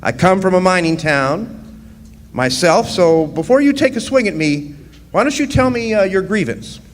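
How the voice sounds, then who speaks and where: An actor playing a wry, easygoing drifter miner in a radio drama, with a folksy way of talking. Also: male voice, high-pitched, lively intonation, moderate pace. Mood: wary, wry. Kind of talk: live original radio drama. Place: live performance in a resort hall. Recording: echoing hall, faint noise, live stage.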